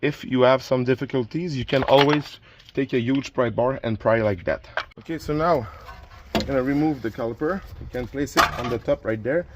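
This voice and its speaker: A man's voice: medium pitch, moderate pace, background noise. A man whose first language is French, hosting a do-it-yourself car repair video and explaining each step casually while he works. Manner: plain, instructive, with frequent restarts and filler. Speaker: DIY car repair channel host. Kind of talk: casual how-to narration while working.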